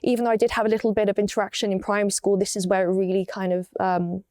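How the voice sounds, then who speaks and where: A young woman from Wales with an English accent, unhurried and full of ums as she tells her story in an interview. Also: medium pitch, flat delivery, darkish tone, dry recording, clean studio sound. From Wales, raised in East London. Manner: unhurried, many ums and restarts. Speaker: guest.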